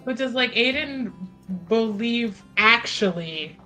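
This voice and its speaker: A woman's voice: on the high side, animated, takes it slowly, sharp tone, faint music behind. A woman playing a tabletop roleplaying game, chatting casually and narrating her character.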